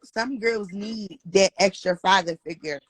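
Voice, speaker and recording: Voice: medium pitch, measured pace, dry recording, poor audio. A host giving earnest, encouraging advice in a casual chat, repeating phrases for emphasis.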